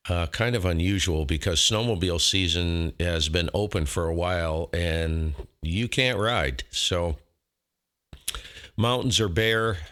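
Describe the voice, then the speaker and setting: A man with a low-pitched voice talks as a ham radio operator hosting his own contacts. He is casual and folksy, rambling along in a self-deprecating way. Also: measured pace, a bit bright.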